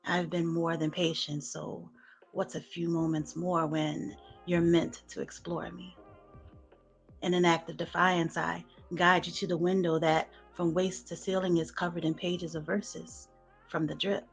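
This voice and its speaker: A woman performing sensual spoken word poetry in a soft, smooth, hushed voice. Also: lowish voice, flat delivery, soft background music. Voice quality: soft, smooth, breathy-edged voice. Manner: hushed, slow sensual delivery with soft laughs in chat. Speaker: guest poet.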